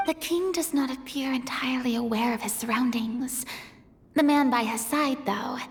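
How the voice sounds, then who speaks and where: An actress playing a composed, watchful female investigator in a fantasy game, soft and cautious in manner. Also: high-pitched, normal speed, husky. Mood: hushed, wary. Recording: long hall reverb.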